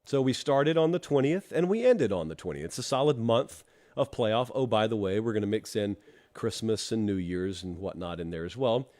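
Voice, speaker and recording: A man's voice: lowish voice, expressive, moderate pace, darkish tone. Chatty male host, stuffed-up voice. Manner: chatty, wry asides to the live chat. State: congested from allergies. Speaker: college football show host. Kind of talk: live-streamed solo talk show with ad read.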